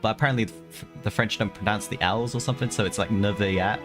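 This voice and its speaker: A man's voice: lowish voice, very fast. A young man streaming a game, making informal, wry asides as he reacts. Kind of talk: casual live gameplay reaction. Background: game music.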